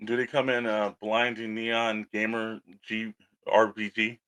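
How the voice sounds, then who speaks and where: A man's voice: lowish voice, flat delivery, unhurried, pushed and bright, no room sound. A man co-hosting a hobby show in casual discussion, with a loose, meandering way of phrasing things. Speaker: co-host of a toy-collecting show.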